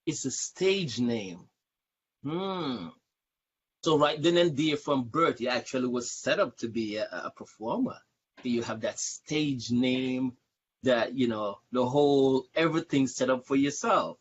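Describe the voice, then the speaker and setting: A man's voice: medium pitch, expressive, measured pace, breathy edge. A man hosting a casual live-stream interview, repeating words and phrases for emphasis. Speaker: show host, interviewer. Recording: dull, lo-fi livestream audio.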